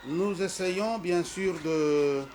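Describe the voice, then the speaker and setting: Congolese man, middle-aged, slow hesitant speech with a preacher's emphasis, faint noise under the recording. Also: high voice, darkish tone. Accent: Congolese French. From Congo-Kinshasa. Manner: hesitant, many fillers. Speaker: school representative. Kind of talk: formal address presenting a school.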